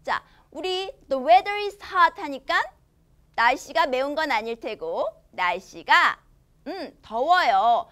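A woman in her thirties teaching young children English from a storybook in a studio. She reads the English lines slowly and with exaggerated care, then explains them cheerfully in Korean. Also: high voice, lively intonation, bright, forceful tone. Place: studio in front of a green screen.

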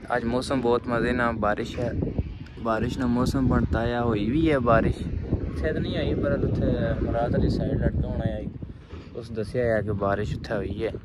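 A young man with a Pakistani Punjabi accent, chatting casually to his phone camera while a vehicle hum runs underneath. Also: mid-range voice. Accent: Pakistani Punjabi (Pothwari). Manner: relaxed, chatty, chuckles between remarks. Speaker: truck driver vlogger. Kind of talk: casual vlog chat to camera. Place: open ground outdoors, evening. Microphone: phone at arm's length. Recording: phone video outdoors with clear traffic noise.

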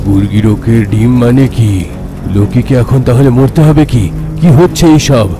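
A man's voice: medium pitch, moderate pace, lightly acted. A man playing a worried bearded sage. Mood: alarmed. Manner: worried, questioning. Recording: with loud background music.

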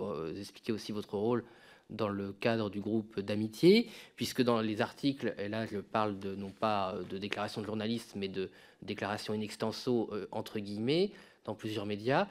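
A young man chairing a parliamentary hearing, asking a witness long questions into a desk microphone in a large committee room, pausing on many fillers. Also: fairly low pitch. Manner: searching for words, many fillers. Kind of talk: formal questioning.

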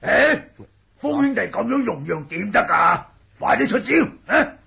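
Gravelly, jovial martial-arts lord. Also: male voice, very high-pitched, sing-song, measured pace, bright, forceful tone, slight background noise, heavily acted. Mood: excited, fighting. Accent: Hong Kong Cantonese. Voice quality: gravelly, raspy. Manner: hearty, exclamatory. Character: jovial, hard-drinking martial-arts manor lord, male. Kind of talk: 1980 radio drama acting. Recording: 1980 radio drama recording.

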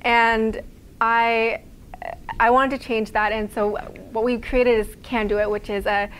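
A young woman from Quebec, Canada, gives a relaxed talk about her startup in a small office lounge. Her pitch stays level and unvaried, and she often pauses on "like" and "um". A faint hiss sits under the room sound. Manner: conversational, many fillers like "like" and "um". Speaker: guest speaker, startup founder. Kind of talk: casual talk to a small audience.

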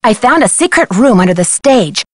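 An actress voicing an earnest, determined young American amateur detective in a video game, speaking clearly, politely and insistently. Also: female voice, fairly high pitch, sing-song, moderate pace, dry recording. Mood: urgent, earnest.

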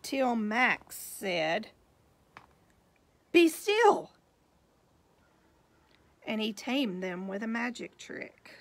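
A woman reading a picture book aloud to young children in an expressive, unhurried way, pausing to point out the pictures. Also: mid-range voice, vocal fry. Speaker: storybook reader, teacher.

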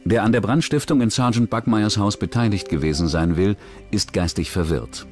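Low-voiced male documentary narrator. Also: moderate pace, darkish tone, faint music behind. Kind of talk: scripted true-crime narration.

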